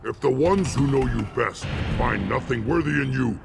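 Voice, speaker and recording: An actor playing a stern, proud alien elder in a video game, with a gravelly voice and formal, declamatory delivery. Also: male voice, mid-range voice, moderate pace, music in the background. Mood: solemn.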